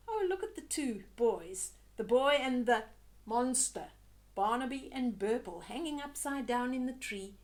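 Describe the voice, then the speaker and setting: An older woman reading a children's story aloud, expressively, with chatty asides to young listeners. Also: sits high.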